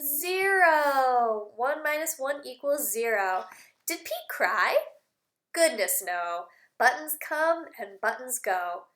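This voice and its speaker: A woman with a high, bright voice reads aloud to young children as their teacher. She takes her time, stressing and repeating key words in simple phrases. Her delivery is animated, with questions and sing-song bits. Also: takes it slowly. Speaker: speech-language teacher reading aloud.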